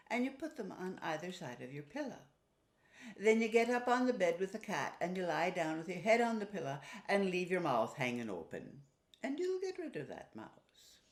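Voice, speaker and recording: A woman's voice: fairly low pitch, very animated, normal speed. A woman storyteller voicing a practical, bossy Irish village woman in a put-on accent, instructing matter-of-factly. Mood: brisk, advising. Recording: roomy, echoing sound.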